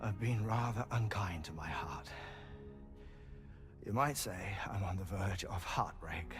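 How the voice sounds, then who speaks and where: A man's voice: low voice, lively intonation. A man playing a genial, ailing scientist in a game cutscene, formal and wordy, sometimes dropping to a whisper, with music behind him. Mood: wry, wistful. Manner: formal, wordy, measured, with soft chuckles. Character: genial, ailing, erudite male scientist resigned to heartbreak. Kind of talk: cinematic video game cutscene acting.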